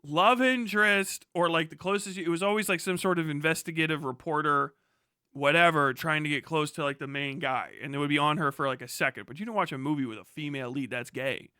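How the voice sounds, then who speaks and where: A man in his thirties chatting casually as a livestream host, swearing freely and riffing in a sarcastic, animated way. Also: fairly high pitch, brisk, clear, resonant tone, no echo, clean studio sound. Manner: profane, animated, sarcastic ranting.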